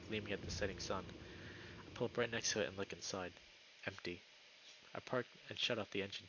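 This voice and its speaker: A man's voice: fairly low pitch, background noise. A man reading a visual novel aloud as a streamer, in dramatic first-person narration.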